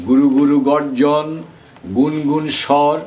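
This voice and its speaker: A man reciting poetry in a dramatic, measured, declamatory way with deliberate pauses. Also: fairly high pitch, little pitch movement, a little mellow, poor audio. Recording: faint background noise.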